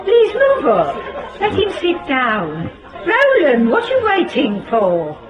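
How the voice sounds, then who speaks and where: An actress playing a bossy, chatty, jealous British wife out dancing in a radio drama. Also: female voice, high-pitched, wildly swooping pitch, slow, highs cut off, heavily acted. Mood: brisk, bossy. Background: music and busy dance hall crowd.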